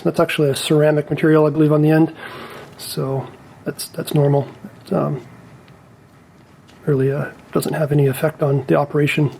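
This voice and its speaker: Higher-pitched man explaining a hands-on repair. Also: vocal fry, darkish tone, slight background noise. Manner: methodical, explanatory, careful. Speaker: repair technician presenting a tutorial. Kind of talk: casual step-by-step repair demonstration.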